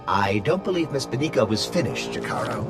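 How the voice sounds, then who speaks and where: An actor playing a wry ally at a war council in a video game cutscene. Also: male voice, medium pitch, vocal fry.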